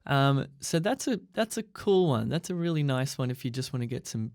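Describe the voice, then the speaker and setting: Male podcast host, mid-pitched, keen and chatty, dry close sound. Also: lively intonation, a little fast, darkish tone, no echo. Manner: enthusiastic, promotional, with many fillers. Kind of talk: casual podcast chat.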